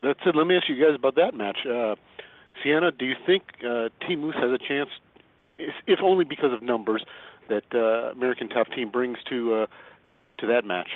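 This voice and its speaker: A man's voice: mid-range voice, a little fast. A man casually putting interview questions to guests on a conference call. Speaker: moderator asking questions. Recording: tinny dry phone line.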